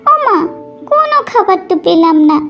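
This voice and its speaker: A very high-pitched little cartoon girl, pleading and eager. She calls out in a plaintive, drawn-out way, with light music behind her. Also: very animated, dull audio, heavily acted. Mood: whining, hungry. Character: hungry young daughter, pleading and eager.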